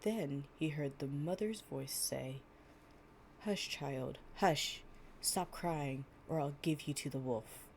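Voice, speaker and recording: A low, soft-edged, mellow voice from a young woman acting out an affectionate, chatty girlfriend. She talks in a casual, slangy, playful way, as in an intimate bedtime storytime roleplay. There is faint noise behind her. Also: slow pace. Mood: gentle reading.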